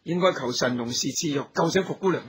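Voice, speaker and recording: Husky heroic elder brother. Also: male voice, on the high side, fast. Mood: practical, alert. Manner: earnest, courteous, formal. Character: heroic archer, steady protective elder brother. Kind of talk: Cantonese radio drama acting.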